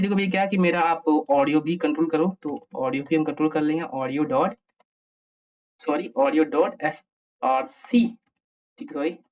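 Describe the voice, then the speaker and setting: An Indian presenter giving an informal coding tutorial, thinking aloud and answering their own questions. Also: mid-range voice. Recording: muffled, distant microphone.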